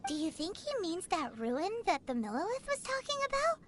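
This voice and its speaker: A woman's voice: extremely high pitch, huge pitch swings, fairly bright tone, exaggerated voice. A woman voicing a tiny, chatty, sassy floating companion in a game cutscene, bubbly and blunt. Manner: bubbly, blunt, quick to complain. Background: game music.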